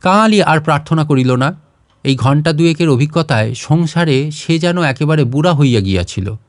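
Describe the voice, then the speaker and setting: A man's voice: on the high side, lively intonation, normal speed, dry recording, studio quality. A man narrating a literary short story as a scripted audiobook reading.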